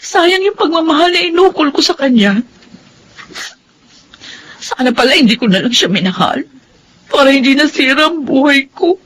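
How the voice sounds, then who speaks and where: A woman's voice: sits high, lively intonation, moderate pace, long pauses, fairly bright tone, poor audio. A sorrowful, bitter young mother in radio drama. Her delivery is plaintive and tearful, with noise behind the voice. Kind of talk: melodramatic radio drama acting.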